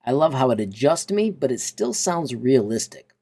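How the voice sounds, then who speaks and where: An enthusiastic man hosts a casual software walkthrough. His voice sits at a middle pitch, and he talks through each step in an upbeat, informal way. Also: very animated, moderate pace. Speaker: tutorial host. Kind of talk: casual software tutorial narration.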